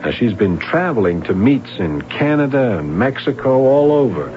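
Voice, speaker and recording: An actor playing an earnest young police sergeant who gets defensive and agitated. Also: male voice, medium pitch, big pitch swings, moderate pace. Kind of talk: 1970s radio drama acting. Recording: dull lo-fi radio broadcast.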